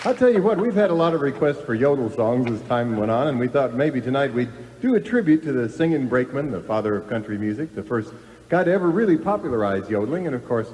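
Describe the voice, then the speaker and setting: Fast-talking American man in a reverberant hall. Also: mid-range voice, lively intonation, a little mellow. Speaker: singer and host of a western radio show. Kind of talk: folksy comic stage patter. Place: live stage before an audience. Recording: reverberant hall, faint music.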